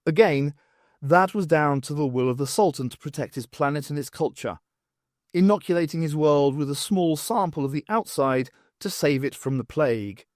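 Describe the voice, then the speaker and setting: A man's voice: a little high, normal speed, no echo, studio quality. A man narrating scripted fiction as an audiobook reader.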